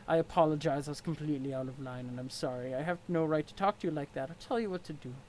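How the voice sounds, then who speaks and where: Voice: mid-range voice, fast, darkish tone, a little hiss or hum, voice pushed down, close to an everyday voice. A reader voicing a stern, formal male troll with a pompous manner. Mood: flustered. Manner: formal, pompous, stiff diction. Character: stern, haughty male troll of great strength.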